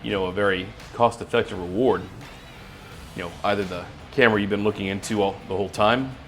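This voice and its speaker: A man's voice: lowish voice, fairly even intonation, fast, a bit bright, faint music behind. A man hosting an interview show in a casual, conversational style.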